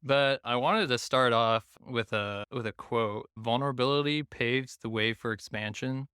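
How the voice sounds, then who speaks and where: A man who grew up in Taiwan talks reflectively as a podcast guest, searching for words and leaning on "like". His voice is bright and smooth. The recording is close and dry. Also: medium pitch, normal speed, no room sound. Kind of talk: casual conversation.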